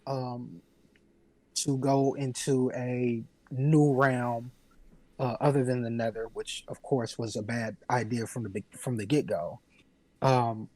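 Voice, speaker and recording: A man talks in a casual podcast discussion, laying out game lore in long, earnest turns. His pitch sits in the middle range for a man, and the sound is dry, with very little room in it. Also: darkish tone, no echo, poor audio. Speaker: podcast panelist.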